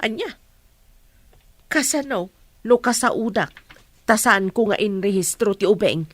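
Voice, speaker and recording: An actress playing a middle-aged village mother in a radio drama, worried, questioning and expressive. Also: medium pitch, unhurried, no echo. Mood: defensive, alarmed.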